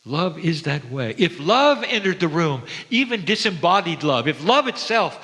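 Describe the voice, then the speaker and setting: A man giving a spiritual sermon to an audience, speaking gently and reverently with deliberate, dramatic pauses. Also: on the high side, sing-song. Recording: long hall reverb.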